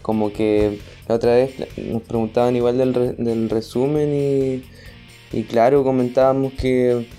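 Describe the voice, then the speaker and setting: A man's voice: mid-range voice, little pitch movement, unhurried, mellow, soft background music. A man with a Chilean accent in casual conversation, searching for words and using colloquial speech. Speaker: guest, podcast creator.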